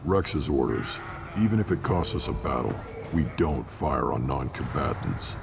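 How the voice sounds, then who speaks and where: A grumpy jaded alien warrior for a game, super deep and gravelly, blunt as hell. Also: male voice, expressive, unhurried, noisy background, full character voice. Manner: blunt, surly, dismissive. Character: gruff, jaded male alien clan warrior. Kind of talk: video game dialogue.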